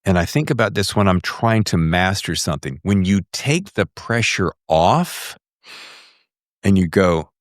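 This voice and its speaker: Low, expressive male podcast host. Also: a little slow, dry recording, clean studio sound. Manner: expressive, emphatic, acts out imagined quotes. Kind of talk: casual conversational interviewing.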